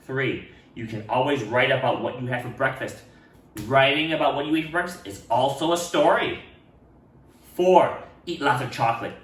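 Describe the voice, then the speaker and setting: A man reading a picture book aloud to children in an expressive manner, speaking to them directly. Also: medium pitch, normal speed. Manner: expressive, addresses children directly, acts out dialogue. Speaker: read-aloud storyteller for children. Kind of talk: animated picture-book read-aloud with asides. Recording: roomy echo, distant microphone.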